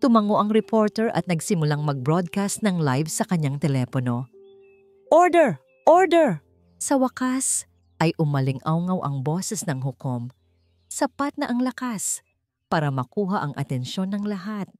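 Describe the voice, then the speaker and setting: A woman's voice: fairly low pitch, sing-song, normal speed, a little mellow, dry recording. A woman reading a dramatic scripted story as an audiobook narrator. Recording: music behind the voice.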